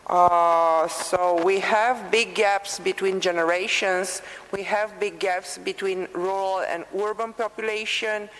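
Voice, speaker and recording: A woman's voice: medium pitch, fairly even intonation. A woman speaking English with a Romanian accent, answering frankly as a conference panelist. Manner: frank, unhurried, self-assured. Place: large conference hall. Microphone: table microphone, at times dead. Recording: reverberant hall, voice from a distance.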